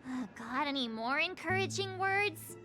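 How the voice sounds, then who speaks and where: An actress voicing a perky, outspoken young girl adventurer in a game, expressive and candid. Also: sits high, unhurried, faint music behind, full character voice. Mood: candid, concerned. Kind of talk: scripted game voice acting.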